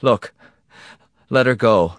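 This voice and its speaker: A man performs an audiobook villain, a smooth and condescending boss. His pitch sits raised and moves with lively swings, and he stretches his mock-polite phrases with unruffled menace. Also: no room sound, clean studio sound. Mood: indulgent, mocking. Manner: mock-polite, indulgent, drawn-out delivery. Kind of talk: audiobook character acting.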